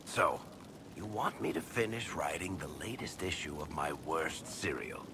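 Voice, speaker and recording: A sardonic male rogue from a fantasy game. He talks in a very low voice with lively swings and a dry, wisecracking manner. Clear ambient noise sits behind him. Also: unhurried, full character voice. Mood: amused, scheming. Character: wry, sardonic roguish male storyteller, grudgingly good-natured. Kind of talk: cinematic game dialogue acting.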